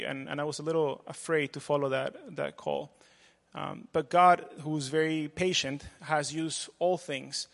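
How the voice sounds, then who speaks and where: A man's voice: a little high, gravelly, a bit bright. Earnest man in a reverberant sanctuary. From Peru. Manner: earnest, measured, with frequent "uh" fillers. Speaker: pastoral candidate. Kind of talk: church introduction speech. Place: large church sanctuary. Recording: long hall reverb.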